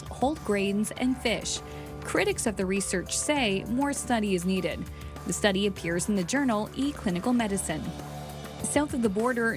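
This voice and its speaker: Female health reporter, higher voice, measured script over music bed. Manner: crisp, measured. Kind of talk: scripted news reading.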